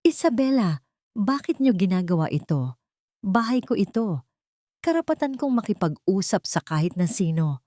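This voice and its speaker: A woman's voice: medium pitch, sing-song, normal speed, pauses often, mellow, no echo, clean studio sound, lightly acted. A woman voicing a frail, pleading elderly mother, anxious and bitter, with a weak, cracking voice in an audio drama.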